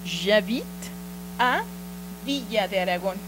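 A middle-aged Mexican woman with a Mexican Spanish accent teaches French in a TV studio, repeating phrases slowly and patiently into a handheld mic. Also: medium pitch, animated. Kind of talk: slow drilled language lesson. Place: small TV studio set. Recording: studio recording with audible mains hum. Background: mains hum.

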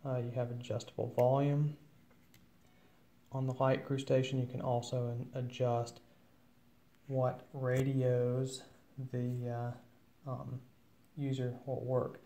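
A man giving an informal, matter-of-fact instructional walkthrough of equipment, with hesitant pauses. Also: medium pitch, flat delivery, mellow. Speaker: presenter of an equipment demo. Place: indoors at a wooden table at home.

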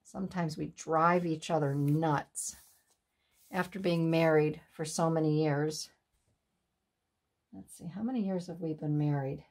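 A slow-talking woman in her sixties with a fairly low, breathy voice, sniffling a little. She chats at her craft table at home, laughing and talking to herself as she works. The sound is picked up by an overhead camera on the desk. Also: steady tone, a little mellow. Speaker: craft channel host. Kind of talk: casual chat while making a collage. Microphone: overhead camera on the desk, hands only in frame.

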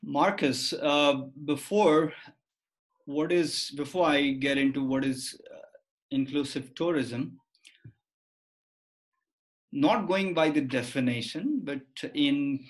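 A man in his forties with a Nepali accent, explaining things as an interview guest in measured, deliberate phrases on a video call. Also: mid-range voice, rough recording. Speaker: guest, tour company director and lecturer. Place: office room, video call.

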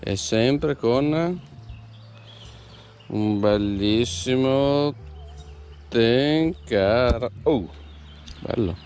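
A man talking to his viewers while fishing outdoors by a pond, breaking into excited exclamations, recorded on a head-mounted camera. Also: medium pitch, drawn-out words, pauses often, slight background noise. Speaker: fishing vlogger. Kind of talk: casual commentary while fishing.

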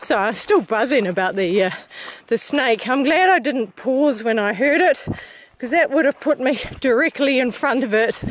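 A woman's voice: high-pitched, animated, normal speed. A middle-aged woman with a New Zealand accent, chatting enthusiastically to a handheld camera while hiking outdoors. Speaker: hiker vlogger. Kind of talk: casual walking vlog commentary. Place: outdoors on a desert mountain trail. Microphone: camera held at arm's length. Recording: noisy outdoor camera audio.

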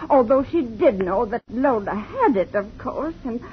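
A woman's voice: fairly high pitch, animated, measured pace. An actress playing an anxious, grieving woman in a 1950s radio drama, with a dull vintage sound and faint hiss. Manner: fretful and tearful.

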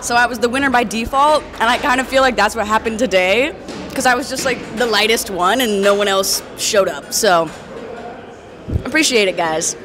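Raspy, tired young woman vlogging. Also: fairly high pitch, moderate pace, music in the background. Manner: chatty and joking, with laughs. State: sick, tired voice. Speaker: vlogger and guest powerlifter. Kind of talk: casual vlog to camera. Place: large indoor gym at a meet. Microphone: handheld camera up close.

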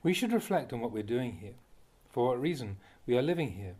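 A man reading a Buddhist Dhamma talk aloud as a monk, deliberately, in short phrases with pauses. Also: medium pitch, big pitch swings, a little mellow. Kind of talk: read-aloud Dhamma talk, measured teaching.

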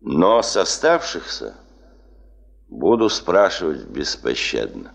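Stern low-voiced boss, sweeping intonation. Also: male voice, big pitch swings, creaky, exaggerated voice. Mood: stern, unsparing. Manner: formal, deliberate speech-making with weighty pauses. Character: stern, demanding male chief of a geological administration. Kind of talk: 1970s Soviet radio play acting.

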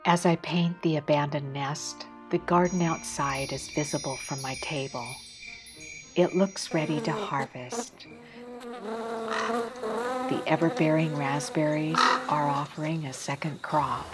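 A woman artist calmly reading a scripted documentary narration about her drawing, in a measured, reflective way. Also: sits low. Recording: voice-over with loud background music.

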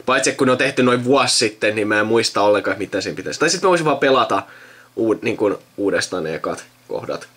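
A man's voice: fairly low pitch, lively intonation, fairly bright tone. A young man in casual chat, thinking aloud in a rambling way and often trailing off. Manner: rambling, trailing off mid-sentence. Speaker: video host recording himself with a friend. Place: indoors at a computer.

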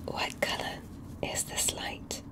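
Slow whispering ASMR woman. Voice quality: breathy, unvoiced whisper. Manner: slow, gentle, careful step-by-step instructing and reassuring. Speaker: ASMR roleplayer acting as an alien medical examiner. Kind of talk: ASMR medical roleplay.

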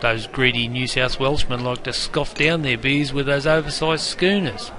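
A man's voice: mid-range voice, moderate pace, lightly acted. A man playing a cheeky, matey barman in an interactive game, with a broad Australian accent and heavy slang. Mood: cheeky, welcoming. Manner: jokey, teasing, heavy slang. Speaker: bartender guide. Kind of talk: interactive game character patter. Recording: busy crowd noise behind. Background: crowd chatter.

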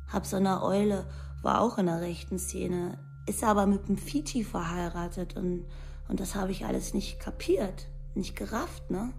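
A woman's voice: mid-range voice, normal speed, gravelly, a little mellow, slight background noise, lightly acted. An actress playing a young far-right ex-convict woman, talking plainly in clipped slang in a documentary radio play. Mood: blunt, matter-of-fact. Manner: plain colloquial slangy telling in clipped sentences.

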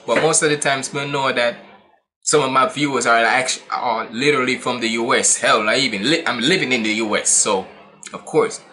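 A man's voice: mid-range voice, brisk, bright, forceful tone, faint music behind. A man in his twenties from Jamaica with a Jamaican accent, giving casual, rambling, slangy commentary as a reaction video host in a room at home. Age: 20s. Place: small indoor room at home.

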